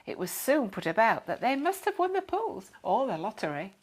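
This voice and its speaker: High-voiced, lively woman reading a story. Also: normal speed. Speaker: story reader. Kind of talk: expressive scripted children's story narration.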